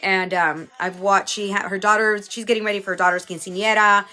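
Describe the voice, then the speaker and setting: A woman's voice: medium pitch, fairly even intonation, brisk, bright, forceful tone, soft background music. A woman from Houston, chatting casually as a livestream host, greeting viewers by name and sounding a little stuffy from a cold. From Houston, Texas.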